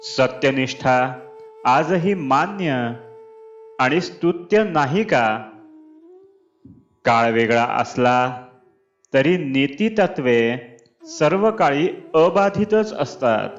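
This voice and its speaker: A man reads a scripted spiritual discourse aloud in measured, deliberate phrases. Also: mid-range voice, lively intonation, dull audio. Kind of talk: scripted devotional discourse reading. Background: clear background music.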